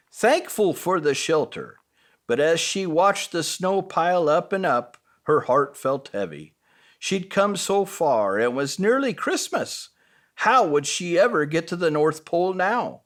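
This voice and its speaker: Slow, very expressive man reading bedtime stories. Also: on the high side. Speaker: storyteller reading as Santa.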